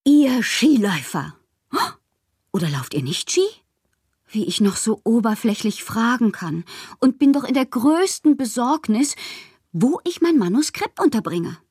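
An actress in a radio drama playing a lonely, whimsical bohemian woman writer, intimate and wistful, with a husky, breathy voice that often drops to a whisper. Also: a little high, very animated, unhurried, a little mellow, no room sound, studio quality, exaggerated voice. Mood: whimsical, anxious. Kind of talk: literary radio monologue.

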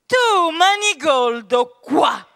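A woman playing a bossy, sharp-tongued lady in a comic theatrical radio drama, commanding and deliberate. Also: high voice, sing-song, creaky, exaggerated voice. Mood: stern, commanding.

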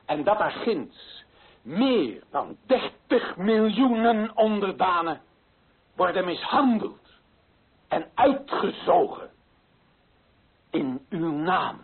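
An actor playing an impassioned, indignant author declaiming against colonial injustice in a radio play, his voice husky and his delivery emphatic. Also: sits high, sing-song, slow, long pauses, exaggerated voice. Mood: solemn appeal. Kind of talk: dramatic radio play monologue. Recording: tinny, phone-like narrow-band sound.

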